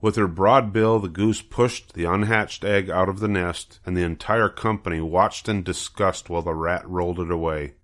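A man's voice: low voice, steady tone, moderate pace, few pauses, fairly bright tone. An older man narrating a children's book as a storybook reader.

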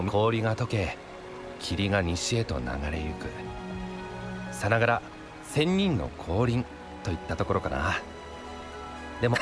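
A composed game storyteller with a mid-range voice and highly varied intonation. He sounds casual and knowing, over clear background music. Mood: storytelling. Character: composed, knowing male storyteller of local legends. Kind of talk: Japanese video game voice acting. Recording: loud music behind the voice.

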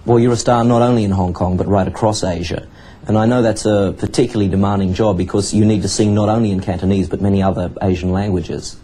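A man reporting in measured, journalistic narration and asking interview questions. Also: lowish voice, steady tone, dark tone, a little hiss or hum.

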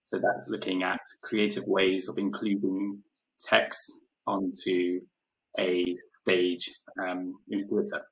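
Young deaf man, slow flat gravelly delivery, video call panelist. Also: sits low, rough recording. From London, UK. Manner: deaf speaker, slow and careful. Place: home room.

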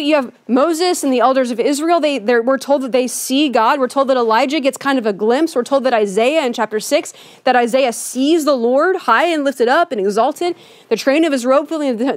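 A woman pastor giving a Christmas sermon in a church, conversational and earnest, often softening her points with "kind of.". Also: sits high, no room sound, studio quality. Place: church sanctuary with a Christmas tree.

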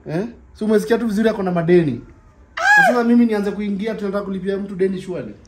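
A man's voice: high-pitched, very fast, very noisy, lightly acted. A man playing a defensive boyfriend in a comedy skit, speaking with a Kenyan accent. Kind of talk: scripted comedy skit dialogue, mixing Swahili and English. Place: living room indoors, sofa. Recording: roomy, distant pickup with music.

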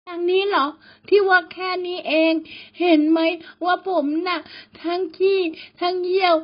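A woman plays a frightened village boy in a spoken ghost story, very high and shaky, his words coming out in panicky, tearful cries. Dull lo-fi sound. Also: level tone, racing. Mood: trembling. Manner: panicky, shrieking and pleading. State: trembling, crying in fear. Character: timid village boy, terrified and easily frightened.

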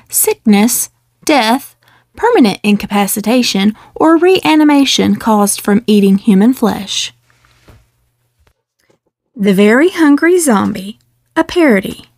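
A woman reading a picture book aloud in a measured, expressive storytelling delivery. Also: medium pitch, creaky, no room sound, clean studio sound. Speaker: children's book reader. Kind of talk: scripted storybook read-aloud.

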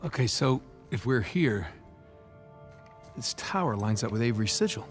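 A man's voice: medium pitch, expressive, normal speed, soft background music, lightly acted. An actor playing a wry, easygoing treasure hunter in his thirties, speaking casually with an American accent. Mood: curious, wry. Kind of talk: naturalistic video game acting.

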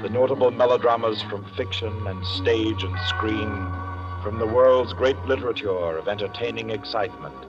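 A man playing a suave announcer and narrator of a 1940s radio suspense play, with measured, dramatic delivery. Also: lowish voice, natural voice. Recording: dull old 1940s radio recording, music behind. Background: dramatic music.

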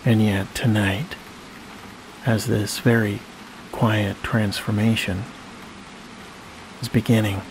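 A man leading a guided sleep meditation in a slow, soothing manner, leaving long pauses between phrases. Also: lowish voice. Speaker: hypnosis guide. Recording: steady rain-like noise behind voice.

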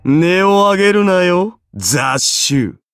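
A man's voice: high voice, big pitch swings, slow, fairly bright tone, smooth, no room sound, studio quality, full character voice. An actor playing a haughty, easily bored golden-armored king in an anime game, pompous, imperious and condescending. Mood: haughty, commanding. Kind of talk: dramatic anime game character voice acting.

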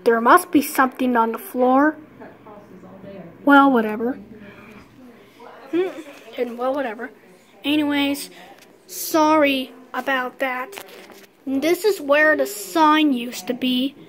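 A woman's voice: high-pitched, fairly even intonation, slow, long pauses, a bit bright, poor audio. A woman filming a casual walk-through vlog outside a closed restaurant, rambling and often shrugging things off with "well, whatever.". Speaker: vlogger exploring an abandoned building. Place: outdoors around a closed restaurant building.

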